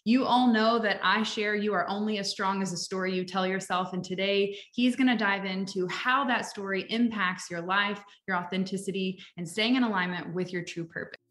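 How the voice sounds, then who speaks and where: A woman's voice: medium pitch, fairly even intonation, normal speed, hardly any pauses, fairly bright tone. Enthusiastic woman host in an echoey room. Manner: enthusiastic, laughs often. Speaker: podcast host. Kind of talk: podcast interview.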